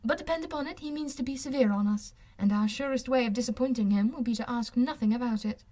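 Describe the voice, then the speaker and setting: A female narrator acts out a witty young gentlewoman in an audiobook. She is playful and sure of herself. Her delivery is lively, articulate and teasing. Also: fairly high pitch, quick pace, close to an everyday voice. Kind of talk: audiobook character voice.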